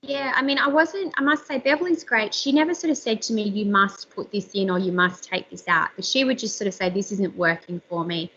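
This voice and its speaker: Bright, slightly squeaky woman on a video call. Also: brisk, hardly any pauses, breathy edge, lo-fi sound. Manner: chatty, candid, frequent fillers. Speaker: author and journalist. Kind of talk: casual interview chat. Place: home room.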